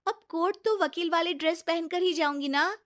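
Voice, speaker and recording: A woman's voice: extremely high pitch, normal speed, fairly bright tone, pitched above the performer's own voice. A woman voicing a young lawyer daughter-in-law in a Hindi cartoon story, earnest, protesting and exasperated.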